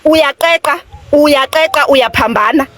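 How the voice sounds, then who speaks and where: A woman's voice: high voice, moderate pace, over a noisy crowd. A woman from South Africa with a Xhosa accent, a panelist arguing bluntly on a live stream, often repeating words as she pushes her point. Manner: blunt, insulting, repeats words when riled. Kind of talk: heated live-stream argument.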